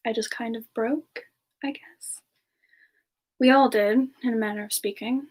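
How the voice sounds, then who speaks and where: Slow, high-voiced gentle demon woman a woman acts out. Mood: wistful, tender. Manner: soft-spoken, hesitant, often chuckling. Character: gentle, romantic female demon reborn from a past life. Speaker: streamer answering viewer questions in character. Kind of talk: semi-ASMR roleplay Q&A.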